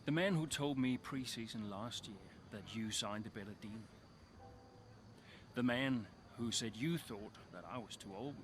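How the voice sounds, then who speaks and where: An actor playing an older, weary but earnest racing driver in a video game cutscene, speaking in a low-key, sincere way. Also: male voice, unhurried, a little hiss or hum, lightly acted. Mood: bitter regret.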